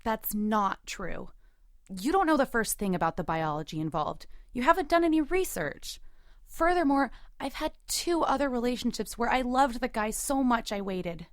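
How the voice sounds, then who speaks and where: A woman's voice: fairly high pitch, normal speed, few pauses, no echo, lightly acted. Woman acting a hurt, resolute girlfriend, close and dry. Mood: firm, frustrated. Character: hurt, resolute thirty-four-year-old girlfriend narrating her breakup. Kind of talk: intimate first-person audio drama acting.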